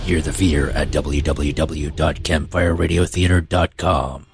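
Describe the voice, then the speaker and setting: A man announcing a horror radio promo in an ominous, drawn-out, dramatic delivery. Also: a bass voice, fairly even intonation, gravelly. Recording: loud music behind.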